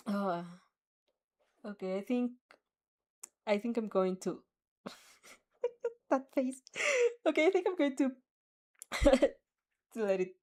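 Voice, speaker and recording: A woman's voice: a little high, big pitch swings, takes it slowly, pauses often, darkish tone. A young woman reading a fanfiction aloud and chatting casually with viewers in a giggly, rambling, easily flustered way. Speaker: fanfic reader and streamer. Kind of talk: reaction reading and casual chat.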